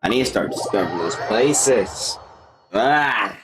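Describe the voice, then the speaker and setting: Slow-talking male game streamer. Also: fairly low pitch, lively intonation, over music. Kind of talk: casual live gameplay commentary.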